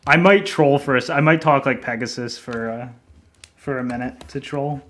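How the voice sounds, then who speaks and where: A man's voice: mid-range voice, moderate pace, fairly bright tone. A man who develops games, chatting loosely with his livestream viewers in a rambling way, laughing often and swearing casually. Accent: non-native accent in Japanese. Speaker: indie game developer streaming. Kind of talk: casual livestream chat, answering chat. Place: lives in Japan as a foreigner.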